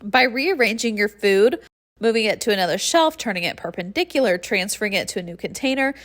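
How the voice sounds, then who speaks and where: A woman's voice: medium pitch, expressive, brisk, a bit bright. A congested young woman with a nasal, stuffy voice, chatting casually through a cleaning tutorial voice-over and breathing heavily. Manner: friendly and chatty, with light laughs and heavy breathing. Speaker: cleaning channel host.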